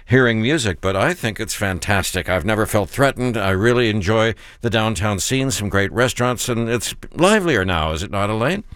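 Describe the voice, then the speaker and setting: Brief: male radio host, lowish voice, effusive and upbeat, dry studio sound. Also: animated, normal speed, hardly any pauses, fairly bright tone, smooth, no room sound. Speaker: radio interviewer. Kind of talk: conversational radio interview.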